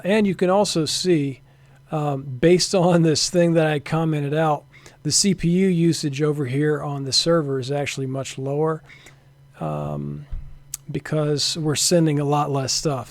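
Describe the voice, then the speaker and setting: A man narrating an informal software demo as a developer, thinking aloud hesitantly and sometimes trailing off into a mutter. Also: fairly high pitch, creaky. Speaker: software developer demonstrating a networking tool.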